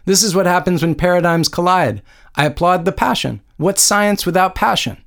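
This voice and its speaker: Glib male show host as a man acts him, storybook character, conciliatory, smooth-talking, raised pitch. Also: moderate pace, fairly bright tone.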